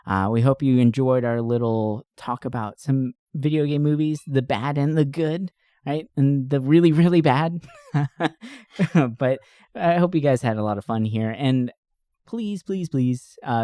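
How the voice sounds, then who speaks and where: A man's voice: medium pitch, moderate pace, warm tone, smooth, dry recording, clean studio sound. A man hosting a podcast, chatting casually and informally about entertainment, with loose, slangy phrasing. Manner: informal, frequent "like" and "you know", occasional swearing. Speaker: podcast host. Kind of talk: casual podcast chat about movies and games.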